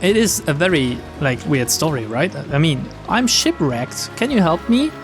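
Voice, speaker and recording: A man's voice: fairly high pitch, big pitch swings, normal speed. A man reading a visual novel aloud as a streamer, theatrical, with casual asides and game music and rain behind him. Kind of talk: dramatic narration with casual commentary. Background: game music and rain sounds.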